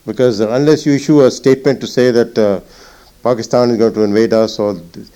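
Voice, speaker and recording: An older man, a retired naval officer recalling the past in an interview, reflective and halting with many fillers. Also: mid-range voice, fairly even intonation, dark tone. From Punjab. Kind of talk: oral history interview.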